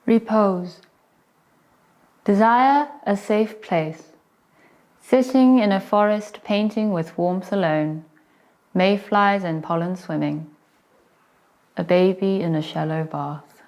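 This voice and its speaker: A woman reciting poetry in short, measured phrases with deliberate pauses between them. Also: mid-range voice, darkish tone.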